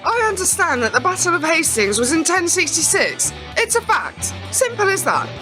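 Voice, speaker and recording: A young, sceptical granddaughter , played by a woman, questions everything with dry impatience. The voice is very high and lively, with music behind it. It is acted for a radio play. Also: moderate pace, heavily acted. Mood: matter-of-fact.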